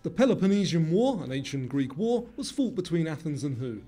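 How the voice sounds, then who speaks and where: A man with a fairly high, very animated voice narrates a scripted quiz. He presents questions and choices in a set format, over faint music. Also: fairly quick.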